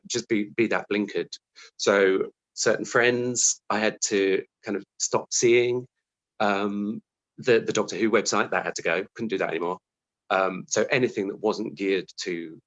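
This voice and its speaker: A British man with a fairly low voice speaks as an interview guest. He is reflective and conversational, slipping into animated quotes of his own thoughts as he explains things. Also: low-quality recording. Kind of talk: conversational interview answer, recounting own career.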